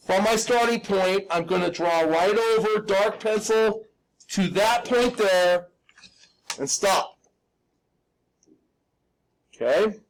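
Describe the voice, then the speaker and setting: A man with a slightly raspy voice teaching drafting in a live step-by-step demonstration, informal and folksy, often checking that everyone follows. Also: high-pitched, poor audio. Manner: instructional, checks in often with "okay?"; informal, folksy, self-deprecating.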